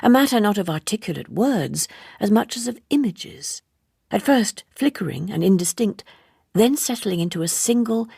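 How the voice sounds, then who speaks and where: A woman narrating a literary audiobook in a measured, expressive manner with deliberate phrasing. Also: mid-range voice, creaky, husky, no room sound, clean studio sound.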